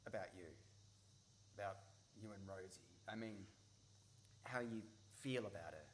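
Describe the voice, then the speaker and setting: A man acting an awkward, caring young Australian friend in a radio play, exasperated but loyal, speaking in a halting way. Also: on the low side, close to an everyday voice. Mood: hesitant, gentle. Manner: halting, self-correcting. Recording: noisy live radio play recording.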